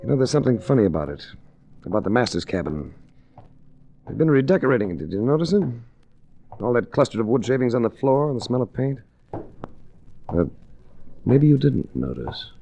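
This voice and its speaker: An actor playing a cool, persistent investigator in a 1940s radio drama, with a wry, probing, clipped manner. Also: male voice, mid-range voice, sing-song, brisk, gravelly, long pauses, dark tone. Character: cool, persistent hard-boiled male investigator narrating his own adventure. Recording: noisy restored 1940s radio recording.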